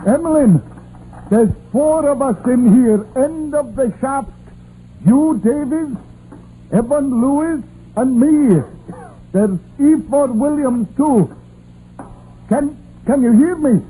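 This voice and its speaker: An actor playing a trapped miner, urgent and insistent, in an old radio drama. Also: male voice, extremely high pitch, takes it slowly, pauses often, mellow. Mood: urgent, strained. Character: distressed trapped Welsh miner on a phone. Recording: muffled old radio broadcast, hissy.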